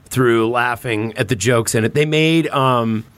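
A man's voice: mid-range voice, measured pace, fairly bright tone, no room sound. A man hosts a loose, informal podcast in a mid-range voice. He talks in a bantering, sweary way with plenty of filler "like". The sound is dry, with very little room around him. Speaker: podcast host. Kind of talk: casual podcast chat.

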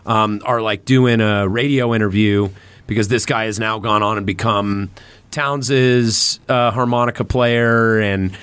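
A man's voice: lowish voice, fairly even intonation, a little slow, a bit bright, clean tone, dry recording. A man hosting a casual podcast chat, rambling and searching for words as he goes. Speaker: podcast host.

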